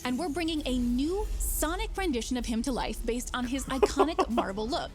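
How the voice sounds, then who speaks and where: A woman reading scripted promotional narration as a showcase presenter, with polished, measured announcer delivery. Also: high-pitched, expressive. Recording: prominent music under the narration.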